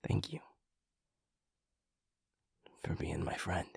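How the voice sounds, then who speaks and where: A man playing an earnest, vulnerable young man in an intimate audio drama, speaking haltingly in a husky, breathy murmur. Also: no room sound, in a whisper. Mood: tender, sincere. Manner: halting, hesitant, stammering. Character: earnest, vulnerable young man, loyal pack second-in-command.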